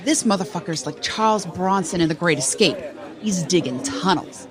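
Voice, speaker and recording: A woman's voice: lowish voice, expressive, moderate pace, natural voice. A woman playing a foul-mouthed, bossy crime boss in an audio drama, talking crudely. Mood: crude, animated. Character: foul-mouthed brash crime boss holding forth at breakfast. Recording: chatter of a crowd behind.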